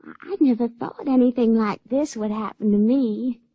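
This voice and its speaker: High-voiced, folksy country girl. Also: steady tone, moderate pace, dry recording. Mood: wistful, happy. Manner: folksy rural speech. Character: naive country young woman with uncanny powers, lovelorn, spirited. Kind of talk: radio drama acting. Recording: dry, dull radio-drama recording.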